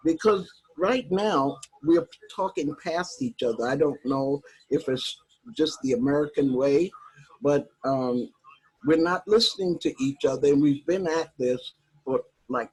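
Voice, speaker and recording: Husky woman in her eighties. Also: low voice, a little mellow, low-quality recording. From Harlem, New York. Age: 80s. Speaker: workshop participant. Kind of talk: personal reflection on a video call.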